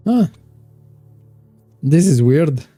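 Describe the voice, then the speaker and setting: Guy streaming a game, chatting with chat in halting english, deep mellow voice, dry sound. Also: big pitch swings, dry recording, faint music behind. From Morocco. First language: Moroccan Arabic. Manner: thinks aloud, chats with viewers, halting non-native phrasing. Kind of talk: casual live-stream gameplay commentary.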